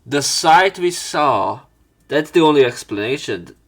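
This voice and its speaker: A man reading a visual novel aloud with commentary, in a theatrical, expressive way. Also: on the high side, unhurried. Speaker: let's player narrating and commenting on a visual novel. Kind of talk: dramatic read-aloud with commentary.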